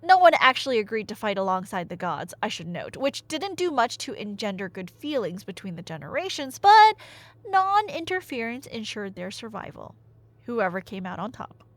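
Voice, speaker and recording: A woman's voice: fairly high pitch, big pitch swings, normal speed, dry recording. A woman telling a story in a casual, rambling ASMR style, playful and slangy with wry asides. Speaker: storyteller playing the listener's nerdy girlfriend.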